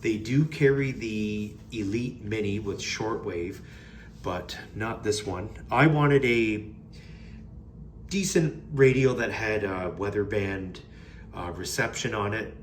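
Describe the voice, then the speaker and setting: A man's voice: lowish voice, slow, a little hiss or hum. A man giving a casual, unscripted product review in a plain-spoken, mildly critical way. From Canada.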